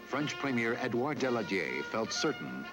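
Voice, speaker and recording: Male newsreel narrator with lively intonation. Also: medium pitch, moderate pace, music in the background. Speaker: documentary narrator. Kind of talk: scripted historical newsreel narration.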